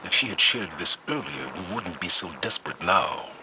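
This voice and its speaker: A man playing a stern, wary veteran commander in a game, measured and authoritative. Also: sits low, lively intonation, bright, forceful tone, heavily acted. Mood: concerned, firm. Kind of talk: dramatic game dialogue. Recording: noisy game sound effects behind.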